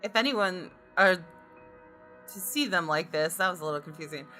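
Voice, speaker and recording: Wry, bright-voiced female narrator. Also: on the low side, moderate pace, soft background music. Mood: wry, surprised. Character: wry, observant professional female architect and designer. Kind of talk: visual novel narration read in character.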